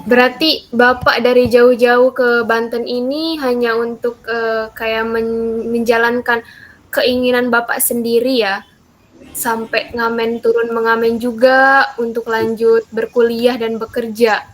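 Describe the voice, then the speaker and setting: A woman's voice: fairly high pitch, level tone, measured pace, rough recording. A young woman hosting an interview over a video call, asking questions politely and addressing her guest respectfully. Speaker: interviewer, host.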